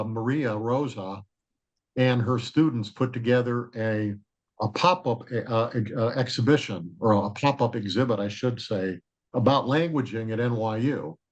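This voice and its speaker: A man speaks in a loud, carrying voice at an ordinary, middling pitch. He introduces a guest speaker on a video call, addressing an online audience directly. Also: dull audio. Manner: loud, projecting voice. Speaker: former president of the organization, introducing the speaker.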